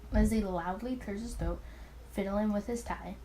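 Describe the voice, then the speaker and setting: A woman's voice: medium pitch, moderate pace, mellow, a little hiss or hum, lightly acted, low-quality recording. A woman reading the first-person thoughts of an anxious, smitten young male detective in a visual novel. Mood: hesitant, tender.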